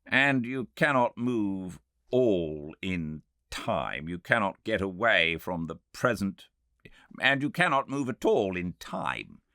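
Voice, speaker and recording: A man's voice: low-pitched, animated, slow, sharp tone, dry recording, studio quality, voice pushed up, exaggerated voice. A man voicing a sceptical Victorian medical man with a British accent, arguing emphatically in an audiobook. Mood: insistent objection. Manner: argumentative, emphatic. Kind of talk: audiobook character dialogue.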